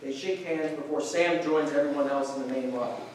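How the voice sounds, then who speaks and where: A man's voice: medium pitch, a little fast. Male stage-directions reader, very echoey room. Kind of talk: scripted narration for a staged play reading. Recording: very echoey room, distant mic, faint noise.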